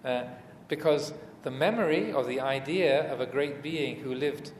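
A man giving an unscripted Buddhist dharma talk as a teacher, speaking in a measured, reflective way with frequent pauses between short phrases. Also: mid-range voice. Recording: echoey reverberant hall with background noise.

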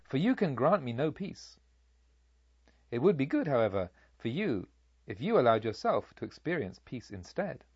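A man voicing a serene, wise holy monk who gently admonishes in a calm, measured, knowing way, as in an audiobook. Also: medium pitch, slightly rough, dry recording, lo-fi sound. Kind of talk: dramatised audiobook dialogue.

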